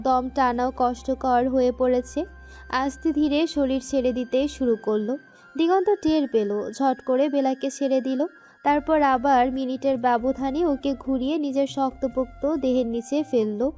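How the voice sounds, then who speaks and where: A woman's voice: high-pitched, dark tone. A woman narrating a scripted romantic story.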